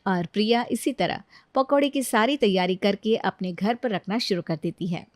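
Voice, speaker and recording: A woman's voice: mid-range voice, normal speed, dry recording. A woman narrating a scripted story expressively as a storyteller.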